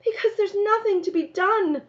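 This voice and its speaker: A woman's voice: very high voice, normal speed, pitched above the performer's own voice. A woman reading the part of a stern, worried country mother in a storybook, with firm, emphatic commands. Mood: firm, exasperated. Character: stern, worried rural mother scolding her child. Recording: slightly dull, lo-fi sound.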